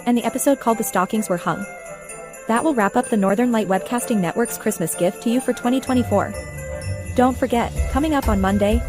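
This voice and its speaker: Slightly high-voiced woman host over music. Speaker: webcast host. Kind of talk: scripted presenting, announcements. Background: Christmas music with jingle bells.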